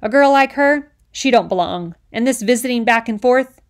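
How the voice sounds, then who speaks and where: A bigoted middle-aged island policeman, put on by a woman reading a storybook aloud, lecturing a boy in rough, ungrammatical speech. He pushes his voice loud and hard. He talks down to the boy. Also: a little high, lively intonation, normal speed, pitched above the performer's own voice, lightly acted. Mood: loud, bullying. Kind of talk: audiobook character reading.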